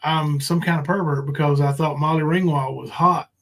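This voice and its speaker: A man's voice: fairly high pitch, fairly even intonation, moderate pace, a bit bright. A man hosting a live panel stream, chatting casually in a folksy, rambling way. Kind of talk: casual livestream chat.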